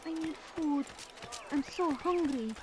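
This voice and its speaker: A woman's voice: high-pitched, unhurried. A woman playing a desperate, poor beggar who pleads insistently in a video game. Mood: desperate pleading. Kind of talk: video game character acting. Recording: noisy street ambience with horse hooves.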